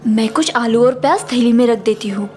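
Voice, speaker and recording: A woman's voice: a little high, a little fast, a little mellow, soft background music, natural voice. A woman voicing a sly, greedy housemaid who plays obedient, in a theatrical cartoon story manner. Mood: scheming. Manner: theatrical, deferential to employers, gloating when alone. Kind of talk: Hindi cartoon story voice acting.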